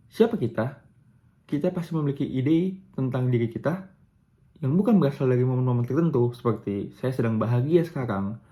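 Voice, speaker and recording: A young man presents a lesson in a relaxed, conversational way. His voice sits at a middle pitch with a soft, mellow tone. He often hesitates and restarts mid-sentence. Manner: casual, with fillers and self-corrections. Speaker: student presenter. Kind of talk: explanatory lesson.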